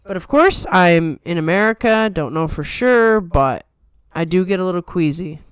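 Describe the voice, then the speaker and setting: A woman with a softened New York accent, co-hosting a casual chat in a trained, controlled radio manner. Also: fairly low pitch, animated, moderate pace, clear, resonant tone, dry recording. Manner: chatty, playful, trained radio delivery. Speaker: podcast co-host.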